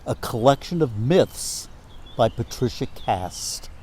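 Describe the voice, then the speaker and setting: A man reading literary prose aloud as a storyteller, in measured, deliberate phrases with pauses. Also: medium pitch, animated, darkish tone, slight background noise. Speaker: reader of a literary myth.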